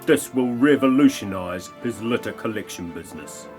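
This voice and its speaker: A man giving deadpan, mock-grand narration for a comic parody audio play. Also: fairly low pitch, normal speed, music in the background.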